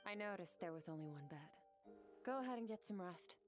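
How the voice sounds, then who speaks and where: A low-voiced, stoic swordswoman acted by a woman speaks in short, blunt lines. She is a composed, matter-of-fact video game warrior. Music plays faintly behind her. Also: lively intonation, fairly quick, lightly acted. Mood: detached. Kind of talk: English video game voice acting.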